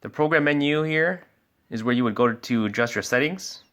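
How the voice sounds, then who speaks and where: A man's voice: mid-range voice, normal speed, a bit bright. A man giving a casual product review and walkthrough as a sales expert, explaining things informally step by step.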